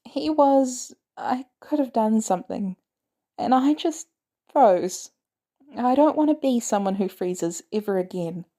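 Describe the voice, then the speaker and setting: A woman's voice: a little high, expressive, measured pace, dark tone, voice pushed up. A woman voicing an eager, stubborn fourteen-year-old boy in an audio drama, curious and cheeky, blurting out questions. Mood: guilty, earnest.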